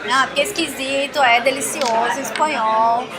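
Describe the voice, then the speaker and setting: High-pitched Brazilian woman chatting animatedly. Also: steady tone, normal speed, over a noisy crowd. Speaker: interview guest, Portuguese teacher. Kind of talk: casual chat with anecdotes.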